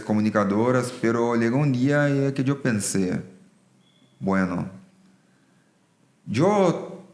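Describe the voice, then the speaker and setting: A man's voice: fairly low pitch, a little mellow. A man from Brazil, a native Portuguese speaker with a Brazilian accent, talking earnestly and explaining things in a conversational interview. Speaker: business executive, podcast guest. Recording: roomy echo.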